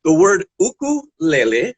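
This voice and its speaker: A man's voice: high-pitched, huge pitch swings, measured pace, breathy edge. A man talking casually to children as a guest puppeteer and musician, explaining in simple steps. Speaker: guest puppeteer and ukulele player.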